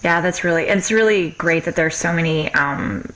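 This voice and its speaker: A woman's voice: lowish voice, normal speed, a bit bright. A woman hosting a podcast interview, speaking casually and informally with affirming, slightly hesitant phrasing.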